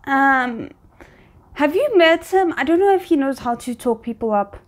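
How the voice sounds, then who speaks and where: A woman with a fairly high voice reacts aloud to something she is watching. Her commentary is casual and unscripted, dropping into muttered, wry asides. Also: lively intonation, moderate pace. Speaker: reaction video host.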